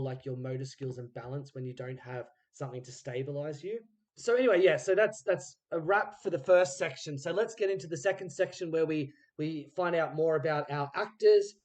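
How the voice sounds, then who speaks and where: A man's voice: a little high, a little mellow. Informal male podcast interviewer. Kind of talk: casual interview chat.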